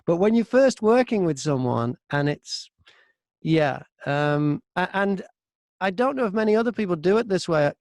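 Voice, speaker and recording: A man's voice: high voice, animated, normal speed, a little mellow, no echo, studio quality. A man talking casually as an interview guest, telling stories about his work as an audiobook narrator and acting out remembered exchanges.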